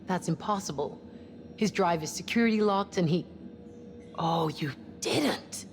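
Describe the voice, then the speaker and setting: A woman's voice: lowish voice, a little slow, lightly acted. A woman playing a cautious, level-headed ship's doctor in a sci-fi game, speaking in a wary, firm, wry way. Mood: wry, surprised. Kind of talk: naturalistic dramatic game cutscene acting.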